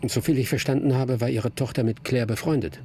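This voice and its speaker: An actor playing a persistent, composed police detective in a radio crime drama, polite but firm. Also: male voice, medium pitch, fairly even intonation, fast, mellow, lightly acted. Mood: firm, matter-of-fact.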